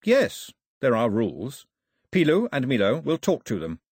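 Man voicing an earnest boy chief, raised pitch. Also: wildly swooping pitch, moderate pace, breathy edge, no room sound, studio quality, full character voice. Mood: earnest, calm. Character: earnest, steady teenage island boy, modest young chief.

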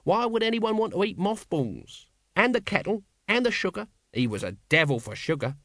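A man's voice: sits high, sing-song, moderate pace, fairly bright tone, dry recording, clean studio sound, voice pushed up, exaggerated voice. A man reading an audiobook, voicing a scruffy, sly little guardsman who teases with mock sweetness. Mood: needling.

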